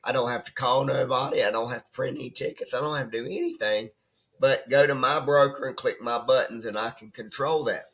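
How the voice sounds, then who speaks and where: A hoarse man who has nearly lost his voice, talking as a trading coach in a rambling, conversational way and often checking in with "right?". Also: medium pitch, steady tone, brisk, a bit bright. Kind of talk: casual off-the-cuff coaching talk. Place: at his computer desk.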